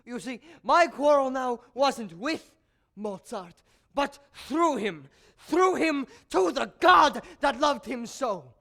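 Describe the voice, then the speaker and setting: A scheming, embittered court composer played by a man confides in the audience. His very high voice moves slowly and swings widely, grandiose and declamatory, as in a stage tragedy. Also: animated, gravelly, pushed and bright, full character voice. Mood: defiant. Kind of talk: theatrical stage monologue.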